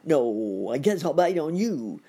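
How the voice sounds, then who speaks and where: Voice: medium pitch, big pitch swings, a little slow, warm tone, breathy edge, exaggerated voice. A storyteller voicing a frantic, blustering storybook alligator who wails and repeats cries about a toothache. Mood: frantic, threatening. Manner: wailing, repetitive exclamations.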